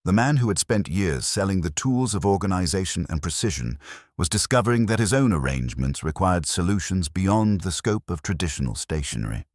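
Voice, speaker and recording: Very deep male mystery narrator. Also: big pitch swings, fairly quick, no room sound, studio quality. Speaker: audiobook narrator. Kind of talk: scripted mystery story narration.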